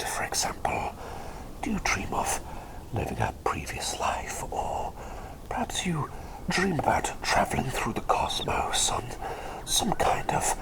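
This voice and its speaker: An eccentric, excitable plague doctor played by a man murmurs in a breathy whisper for an ASMR roleplay. The delivery swoops widely up and down with a crackly fry at the edges. He is doting and easily sidetracked, politely repeating his phrases. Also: mid-range voice. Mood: whimsical delight. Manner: rambling, easily distracted, repeats phrases, politely old-fashioned.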